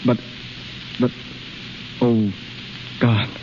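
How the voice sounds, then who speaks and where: An actor in a 1930s radio drama playing a guilt-ridden male sweetheart, halting and pleading as he speaks. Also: medium pitch, flat delivery. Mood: pleading, guilty. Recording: dull, hissy 1930s radio recording.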